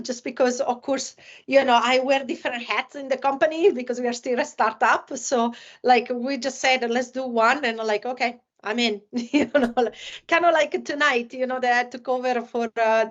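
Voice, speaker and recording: A woman's voice: on the high side, highs cut off. A woman from Italy with an Italian accent, hosting a casual podcast chat and filling her talk with "you know, like". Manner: many fillers like "you know, like". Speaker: podcast host.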